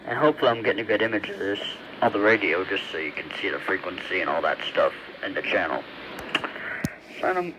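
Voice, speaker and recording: A man's voice: deep voice, animated, fast talker. Man demonstrating over noisy radio static. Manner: rambling, thinking aloud while working. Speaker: hobbyist video presenter. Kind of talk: casual hands-on radio demonstration. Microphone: phone camera at varying distance. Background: shortwave radio noise and static.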